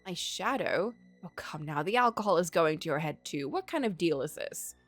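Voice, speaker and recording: A struggling, self-doubting gentleman writer from a story game, read by a woman. He speaks formally with expressive rises and falls, over faint music. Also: fairly low pitch, moderate pace, pitched below the performer's own voice. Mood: wondering. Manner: formal, literary, wondering. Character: poor, doubting, melancholy male writer narrating his misfortune.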